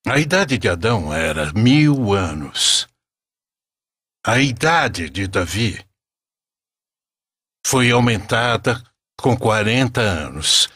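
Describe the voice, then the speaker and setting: Male narrator for sacred tales: solemn, very varied intonation, slightly husky, close dry sound. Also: lowish voice, big pitch swings, slow pace, long pauses, sharp tone, no echo. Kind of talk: scripted religious story narration.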